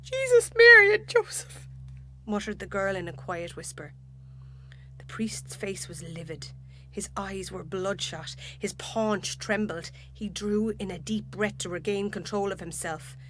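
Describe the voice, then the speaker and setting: A woman with an Irish accent narrating a literary short story in a measured, dramatic way. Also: mid-range voice, steady tone, a little hiss or hum.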